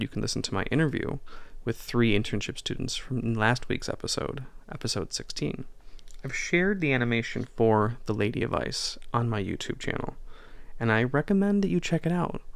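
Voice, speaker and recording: A man delivering a reflective, scripted podcast monologue as host, in a measured, earnest manner with deliberate pauses. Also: mid-range voice, gravelly, no echo. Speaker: podcast host and audio drama writer.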